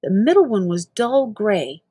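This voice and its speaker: A woman's voice: medium pitch, sing-song, unhurried, dark tone, breathy edge, no room sound, studio quality. An older woman with an American accent reading a children's novel aloud expressively. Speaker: storybook reader.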